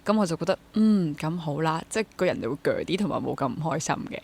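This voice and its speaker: A woman in her twenties with a Hong Kong accent speaks in a slightly low register. She answers as an interview guest in a casual, reflective way, mixing English words into her sentences. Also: expressive, fast, creaky, a little mellow, slightly rough. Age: 20s. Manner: mixes English words into speech. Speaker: interview guest, freelance stage actor and drama teacher.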